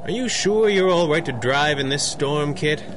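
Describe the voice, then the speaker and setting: A man's voice: on the high side, lively intonation, normal speed, over loud music, lightly acted. A man playing a wealthy, reserved bachelor who is secretly a masked crimefighter, in a 1930s radio adventure with a dry, proper, understated manner. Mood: dry, guarded.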